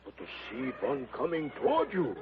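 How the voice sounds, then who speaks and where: A man's voice: medium pitch, wildly swooping pitch, moderate pace. Wolf, a jittery expedition man. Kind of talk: 1930s radio acting. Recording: tinny old radio transcription with hiss.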